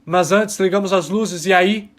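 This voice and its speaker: An actor playing an embittered alien rebel miner, testifying with impassioned, dramatic pauses in an audio drama. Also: male voice, high-pitched, fairly even intonation, fairly bright tone. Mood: grave, urgent. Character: embittered male alien rebel miner testifying about his oppressed people.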